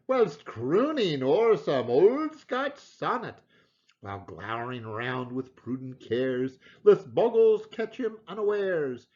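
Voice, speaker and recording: A man recites an old narrative poem with theatrical relish. His fairly high voice swings widely in pitch, and he takes his time over each phrase. The delivery is expressive storytelling in Scots. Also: lo-fi sound. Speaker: poetry reader.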